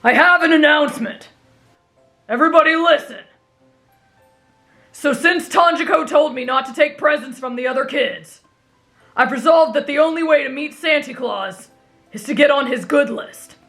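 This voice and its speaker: A woman's voice: high-pitched, normal speed, pauses often, fairly bright tone, faint music behind. A woman voicing a brash, headstrong teenage boy who talks in a blunt, boastful way, in an anime fan roleplay. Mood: loud, self-important.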